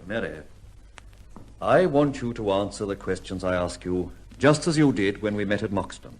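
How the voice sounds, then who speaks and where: An actor playing a shrewd, fatherly upper-class gentleman investigator in an old-time radio drama, with a commanding, wry, questioning manner. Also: on the low side, expressive, moderate pace. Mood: gently probing.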